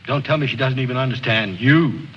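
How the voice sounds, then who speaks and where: An actor playing a gruff, cynical soldier who grumbles and needles sarcastically in old film drama style. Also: male voice, mid-range voice, fairly quick, natural voice. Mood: sardonic, impatient. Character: gruff, sardonic, cynical male soldier named Mac. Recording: dull, noisy old film soundtrack.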